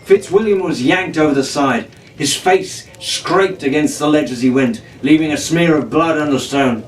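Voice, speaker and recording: A man's voice: fairly high pitch, moderate pace, faint music behind. A man reading a pirate adventure story aloud as a dramatic storyteller. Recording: echoey room, distant mic, faint noise.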